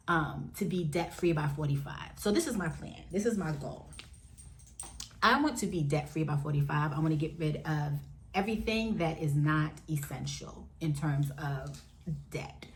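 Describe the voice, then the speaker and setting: A woman in her forties chatting frankly to camera at home, addressing viewers as y'all and jumping up in pitch now and then. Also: moderate pace, slight background noise. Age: 40s. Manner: lively, with sudden jumps up in pitch. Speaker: vlogger. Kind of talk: candid casual chat to camera.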